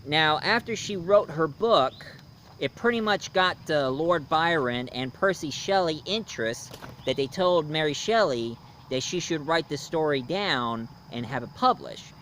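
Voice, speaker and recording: A man hosting a casual, unscripted review, talking in a halting, rambling storyteller's way. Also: on the high side, animated, fairly bright tone. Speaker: host, film and book reviewer. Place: outdoors. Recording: noisy outdoor recording with insects.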